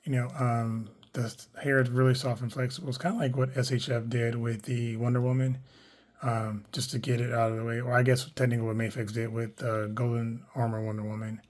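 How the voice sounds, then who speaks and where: A man with a hoarse, worn voice, chatting casually through a toy unboxing, rambling with lots of fillers and self-corrections. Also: mid-range voice, flat delivery, brisk, a little mellow. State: hoarse, losing his voice. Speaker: toy collector reviewing action figures.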